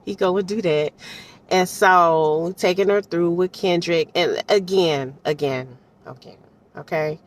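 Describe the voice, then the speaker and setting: A woman giving casual, unscripted commentary in an animated, colloquial way, repeating phrases for emphasis and checking in with the listener. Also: fairly low pitch, a little slow. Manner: chatty, often checks in with the listener. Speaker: audiobook reviewer giving personal commentary.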